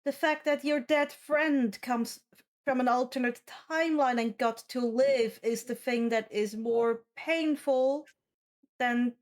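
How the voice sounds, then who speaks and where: Higher-voiced woman running a tabletop game. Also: takes it slowly. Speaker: game master. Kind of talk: casual explanation during tabletop game.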